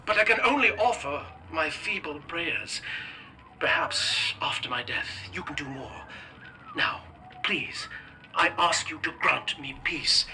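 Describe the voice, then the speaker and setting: An actor playing a doomed male scientist in a game, speaking formally and gravely, pleading and resigned. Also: on the high side, pushed and bright, heavily acted, poor audio. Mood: sorrowful pleading. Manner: formal, measured, sorrowful. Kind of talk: dramatic game voice acting.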